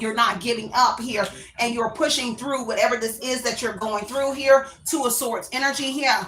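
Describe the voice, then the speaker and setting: Woman tarot reader, bright and sharp-toned, chatty and meandering, talks to viewers as "baby.". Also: on the high side, roomy sound, slight background noise, rough recording. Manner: rambling and unsure, often adds "I don't know". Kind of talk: casual spoken tarot reading to viewers.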